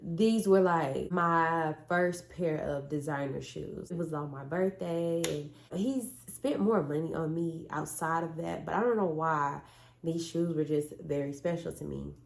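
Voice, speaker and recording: A young woman with tells a story in a blunt, animated way, her voice hoarse from a cold and gravelly with fry. She sits a little low in pitch. The room around her is echoey. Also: a little slow. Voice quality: raspy. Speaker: vlogger. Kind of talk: casual storytime chat.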